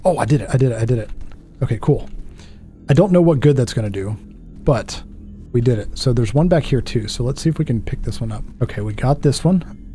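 Self-deprecating man playing a game, mellow voice. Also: mid-range voice, animated, brisk, faint music behind. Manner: self-deprecating, jittery reactions, thinks aloud. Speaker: gaming video host. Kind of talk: casual let's play commentary.

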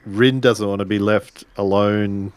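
A man with a fairly low voice plays a tabletop game. He improvises his characters' actions casually, pausing and restarting as he tentatively works them out. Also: fairly even intonation. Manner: halting, tentative. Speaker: roleplaying game player.

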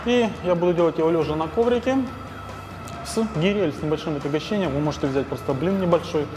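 Male trainer, 30s, lapel mic in a roomy gym, slightly high voice, music under. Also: fast talker. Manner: explanatory, with frequent "э" fillers. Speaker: fitness trainer. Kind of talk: gym workout tutorial. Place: large indoor gym. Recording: echoey gym with music behind.

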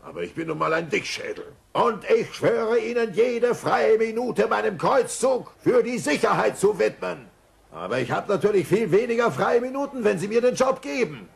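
An actor playing a bumbling, earnest, excitable middle-aged cartoon father in a German-dubbed audio play, speaking in a declamatory way. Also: sits high, moderate pace, creaky, exaggerated voice. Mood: sheepish. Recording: noisy old cassette audio play.

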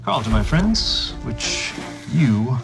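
An actor playing a smug, theatrical spy villain with a deliberate, drawn-out delivery for television. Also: male voice, medium pitch, very animated, creaky, over music, full character voice.

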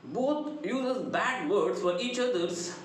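A middle-aged man with a South Indian accent giving an explanatory lecture in a deliberate, emphatic, sing-song delivery. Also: high voice, expressive. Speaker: English lecturer. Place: small indoor room. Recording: very echoey room, faint noise.

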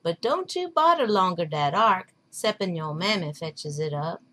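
A woman's voice: lowish voice, expressive, moderate pace, fairly bright tone. A woman voicing a patient, wry old man telling a folktale in drawling, folksy dialect. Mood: gently scolding.

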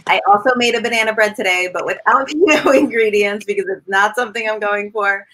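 Bright-voiced woman baker, chatty. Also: mid-range voice, a little fast, poor audio. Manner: chatty, laughs between thoughts. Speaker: pastry baker guest. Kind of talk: casual chat on a video call. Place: home kitchen, indoors.

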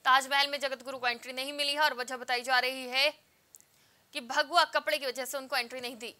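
Young woman tv news anchor, high forceful voice, studio newsreading. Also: fairly even intonation, brisk. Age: young adult.

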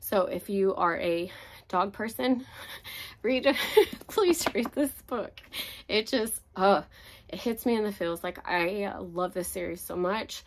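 A woman's voice: medium pitch, slow pace. A young woman, chatting casually about books she loves, her voice cracking as she recovers from being sick. She talks in a gushing way, with lots of fillers. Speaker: book reviewer. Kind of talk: casual book recommendation vlog. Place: home room with bookshelves, indoors.

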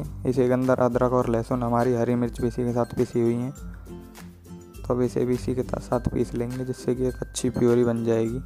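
Man with very flat pitch, cooking tutorial. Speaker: home cook presenting a recipe. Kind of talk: step-by-step cooking tutorial voice-over.

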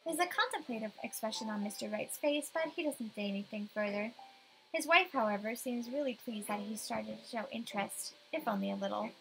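An adult woman reading expressive first-person narration from a visual novel script. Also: a little high, a little fast, gravelly, slight background noise, rough recording. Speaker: player voicing a visual novel.

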